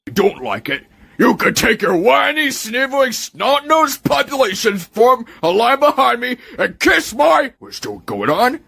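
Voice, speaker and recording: A man's voice: extremely high pitch, huge pitch swings, a little slow, gravelly, pushed and bright, slightly rough, heavily acted. A man voicing a pompous, scheming alien tycoon villain with an oratorical, condescending delivery. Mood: angry.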